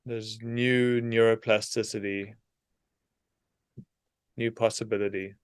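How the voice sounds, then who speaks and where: A man leading a guided meditation in a soothing, unhurried manner, leaving long pauses between short phrases. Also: lowish voice, little pitch movement, clean tone, no echo, clean studio sound.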